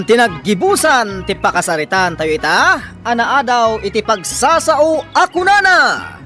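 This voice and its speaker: A narrator closing a radio drama in a measured, formal way. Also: mid-range voice, sharp tone. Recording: faint music behind.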